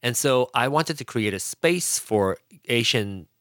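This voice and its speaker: A man from Malaysia whose first language is Hokkien, talking casually as a podcast guest, with frequent restarts and pitch swings. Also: no room sound, studio quality. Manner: halting, many restarts, lively pitch swings. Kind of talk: casual conversational interview.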